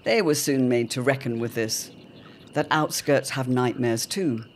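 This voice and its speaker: A man narrating an audio drama serial in a measured, portentous, dramatic storytelling style. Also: mid-range voice. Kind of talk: dramatic scripted narration, old radio serial. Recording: clear background ambient noise.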